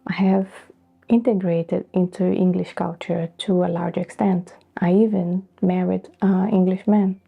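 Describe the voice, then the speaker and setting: A middle-aged woman from Brazil whose first language is Portuguese, speaking thoughtfully to camera in a soft, breathy way with pauses. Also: lowish voice, level tone, narrow, tinny audio. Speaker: vlogger. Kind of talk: reflective personal talk to camera.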